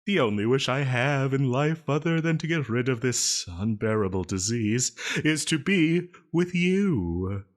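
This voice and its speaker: A man's voice: mid-range voice, animated, a little slow, hardly any pauses, natural voice. A man playing a needy, possessive supervillain in a roleplay audio drama, melodramatic and prone to giggles and stammers. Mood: adoring, giddy. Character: male yandere supervillain, theatrical, needy, possessive, self-pitying, lovestruck.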